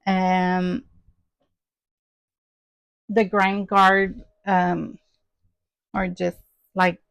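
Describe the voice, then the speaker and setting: Slow, hesitant woman with a Québécois French accent. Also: lowish voice, level tone, vocal fry, a bit bright, clear, resonant tone, no room sound. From Quebec, Canada. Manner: hesitant, many "um" and "uh" fillers. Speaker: crafting channel host. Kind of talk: casual show-and-tell vlog.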